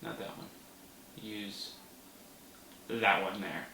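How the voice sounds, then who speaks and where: A person telling a story in a casual vlog manner, animated, with playful exclamations. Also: low voice, slow pace, creaky. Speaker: vlogger. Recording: roomy, distant mic with faint noise.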